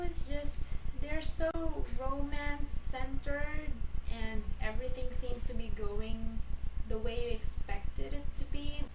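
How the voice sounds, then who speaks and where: A young woman from the Philippines chatting casually about books, animated and full of fillers and restarts. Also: sits high. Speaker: book vlogger. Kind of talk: casual book tag vlog. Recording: echoey room, mic at a distance, audible background noise.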